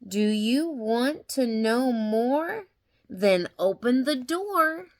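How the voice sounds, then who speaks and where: An older woman reading a children's picture book aloud in an expressive, deliberate manner, with dramatic questions and sound words. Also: on the high side. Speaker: grandmother reading a storybook aloud.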